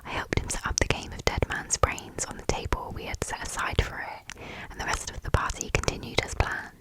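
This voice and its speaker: A woman reading a story aloud in a soft, fully unvoiced ASMR whisper, close to the microphone. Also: brisk, rough recording.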